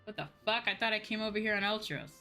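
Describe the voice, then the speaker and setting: A woman's voice: medium pitch, fast talker, bright, forceful tone, soft background music. A woman chatting casually on a livestream while she plays a game, musing aloud and repeating herself. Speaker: livestreamer playing an online game.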